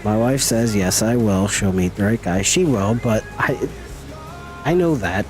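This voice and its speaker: A man's voice: on the low side, measured pace, darkish tone, faint music behind. A middle-aged man chatting casually with viewers as he streams a game, folksy and teasing. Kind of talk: casual live-stream chat while gaming.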